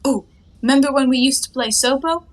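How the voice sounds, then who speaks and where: Casting: high-pitched young woman, flippant and teasing, lofty airs, twenties stage-comedy delivery. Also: fairly quick. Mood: flippant, carefree. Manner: airy, teasing, mock-grand phrasing. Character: playful, cheeky, carefree young woman lounging in her bath. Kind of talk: theatrical 1920s stage comedy acting.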